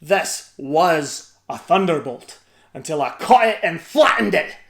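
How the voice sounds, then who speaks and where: A storyteller putting on a hulking giant from a read-aloud storybook, a gluttonous bully who bellows every line at full volume. His shouting voice swings wildly up and down, as if filling a whole cottage. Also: on the high side, animated, measured pace, vocal fry, roomy sound, heavily acted. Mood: bellowing.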